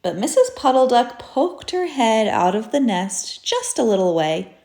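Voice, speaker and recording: Casting: female storybook reader, very unhurried, expressive, deliberate phrasing, recorded in an echoey room. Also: fairly high pitch. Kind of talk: children's story read-aloud. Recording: roomy echo.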